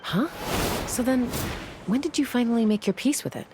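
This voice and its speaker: An actress playing a sensitive young woman in a video game, speaking haltingly and awkwardly. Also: a little high, lively intonation, background noise. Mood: hesitant, sincere. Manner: hesitant, halting.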